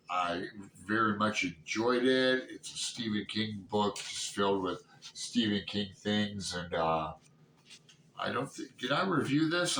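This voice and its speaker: Older guy in his 60s rambling casually, slow and halting, roomy echo, mic kinda far off. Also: lowish voice, fairly bright tone. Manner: halting, rambling, thinking aloud. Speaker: book reviewer vlogger. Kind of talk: casual unscripted book chat. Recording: roomy echo, distant mic, background noise.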